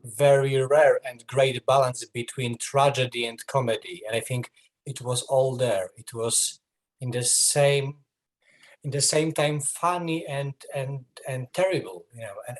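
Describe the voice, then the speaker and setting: Male discussion participant, middle pitch, stumbling and repetitive in spontaneous speech. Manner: stammering, repeats words. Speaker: audience member giving comments.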